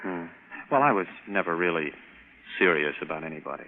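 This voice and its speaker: A man's voice: low voice, brisk, heavy background noise. A man with an American accent playing a confident, easygoing young rocket captain in a 1950s radio drama. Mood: bashful, casual. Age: young adult. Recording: vintage radio, narrow band, music behind.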